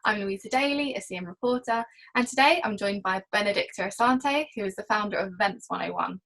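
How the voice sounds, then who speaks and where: Give the voice of a woman reporter hosting a remote interview. Her pitch sits in the middle for a woman. She speaks as an interviewer introducing and questioning a guest on a call. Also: a little fast, gravelly, fairly bright tone. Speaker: interviewer, trade magazine reporter.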